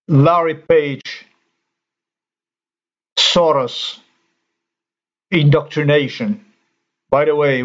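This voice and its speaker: Indignant man ranting, lo-fi. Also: medium pitch. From Slovenia. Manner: indignant, halting, swears. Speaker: commentator. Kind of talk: ranting screen-recorded commentary.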